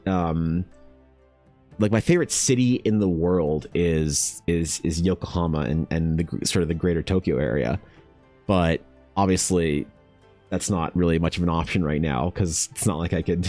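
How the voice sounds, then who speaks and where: Young man, low voice, blunt rambling opinions, casual chat. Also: a little mellow. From Canada. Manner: opinionated, rambling, swears freely, many restarts. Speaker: art vlogger.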